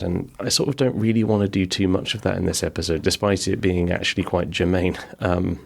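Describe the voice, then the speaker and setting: A man's voice: deep voice, vocal fry, slightly rough. A middle-aged man hosting a podcast, chatting casually and rambling with self-deprecating humor from his small backyard pub. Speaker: podcast host. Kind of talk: casual chat. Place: small home-built pub in a garden outbuilding.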